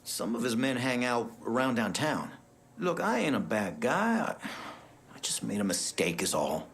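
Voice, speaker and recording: A man's voice: medium pitch, very animated, moderate pace, creaky, a bit bright, slight background noise. An actor playing an anxious, guilty male city clerk in a video game, hesitant and pleading.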